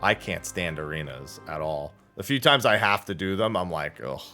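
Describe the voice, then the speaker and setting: A man's voice: low-pitched, expressive, moderate pace, bright, forceful tone, soft background music. A man livestreaming casual gaming chat in a blunt, opinionated way on a close microphone.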